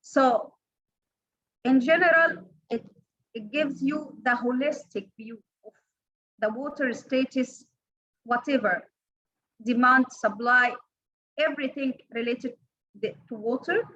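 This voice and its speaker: A woman with an Egyptian Arabic accent giving an online training lecture, halting, with many fillers and restarts. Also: high-pitched, fairly bright tone, lo-fi sound. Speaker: workshop trainer. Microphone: online call audio.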